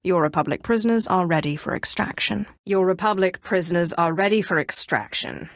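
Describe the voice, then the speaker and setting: An imperious Sith sorceress for a video game. Her voice sits fairly low and is recorded close and dry. She speaks with deliberate, haughty theatricality and cool, cunning menace. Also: lively intonation, no echo. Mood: haughty, commanding.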